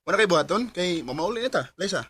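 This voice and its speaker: A man's voice: high-pitched, animated, fast talker, bright, forceful tone, slightly rough, full character voice. An actor playing an easygoing, affectionate and oblivious young man in a radio drama. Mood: casual.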